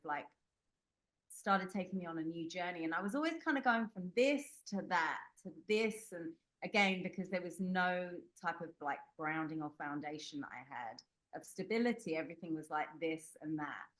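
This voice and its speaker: A woman's voice: lowish voice, moderate pace, a bit bright, rough recording. A young adult woman from the United Kingdom, a guest telling a personal story in an interview, candid and rambling with many fillers and restarts. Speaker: podcast guest.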